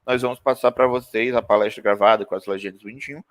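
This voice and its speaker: A man's voice: fairly low pitch, fairly even intonation, rapid-fire, a bit bright. Young man, event presenter, informal intro, easygoing. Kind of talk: casual introduction.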